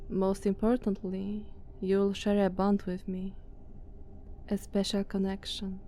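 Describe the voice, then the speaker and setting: A woman with a Slavic accent voicing a dominant, haughty female vampire master in a soft, commanding ASMR roleplay. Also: medium pitch, a little hiss or hum, natural voice. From Slavic country. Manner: slow, soft, commanding, condescending.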